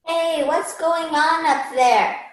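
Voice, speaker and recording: A woman's voice: a little high, measured pace, lightly acted. A woman playing a nostalgic, good-natured parent in an amateur radio play. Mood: puzzled. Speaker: student radio play performer. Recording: echoey room.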